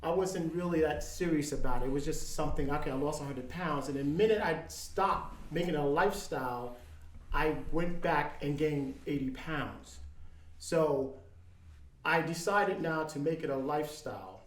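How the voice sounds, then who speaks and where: A man in his fifties speaking in casual chat as a podcast guest, earnest and halting, with many ums and restarts. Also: on the high side, echoey room. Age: 50s.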